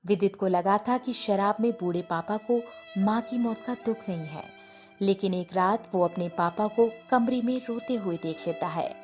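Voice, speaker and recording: A woman's voice: mid-range voice, moderate pace, faint music behind. A woman reading scripted narration for a family story.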